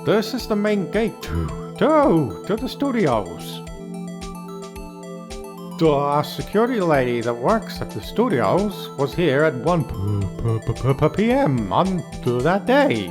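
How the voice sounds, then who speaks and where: A man acts out a bumbling game detective. He stammers nervously and halts often, with a slow pace and jumpy pitch, over game music. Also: huge pitch swings, exaggerated voice. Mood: nervous, flustered. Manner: halting, stuttering delivery. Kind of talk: video game fan dub.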